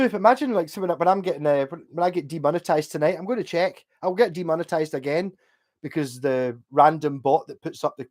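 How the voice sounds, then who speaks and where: A man with a Glasgow accent rambling casually and swearing freely as a livestream host, reading out and answering viewers' comments. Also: on the high side. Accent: Glaswegian. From Glasgow, Scotland. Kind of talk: casual chat.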